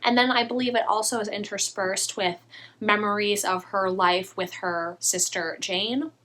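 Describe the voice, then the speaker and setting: Young woman, book vlogger, slightly hoarse, bright tone, chatty and eager. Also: mid-range voice, steady tone. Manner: chatty, enthusiastic, quick asides. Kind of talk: casual book haul vlog.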